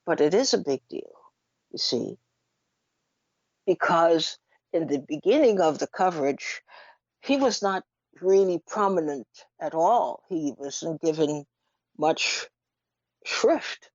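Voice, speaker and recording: A woman giving unscripted radio commentary in an emphatic, deliberate manner, with rhetorical questions and pauses. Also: deep voice, lively intonation, darkish tone, dry recording. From Trinidad. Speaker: radio talk show host. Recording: dull lo-fi radio audio.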